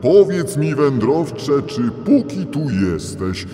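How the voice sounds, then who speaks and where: A solemn, benevolent God thunders out of a storybook tale. The delivery is booming and very slow, drenched in cavernous echo with a long reverb tail. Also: male voice, very animated, dark tone, soft background music. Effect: heavy reverb. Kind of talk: storybook audio drama acting.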